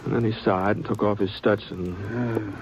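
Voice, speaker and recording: A man's voice: on the low side, steady tone, unhurried. An actor playing a modest drifting cowboy gunman who narrates and talks with a hesitant, drawling stammer in a 1950s radio drama. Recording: old radio broadcast with faint hiss.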